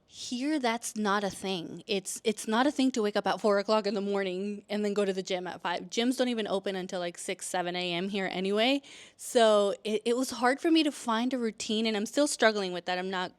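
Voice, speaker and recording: A woman's voice: medium pitch, brisk, clean tone. A woman in her thirties, born in El Salvador and raised in California, chatting casually to camera in a vlog, with lots of fillers and restarts. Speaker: vlogger.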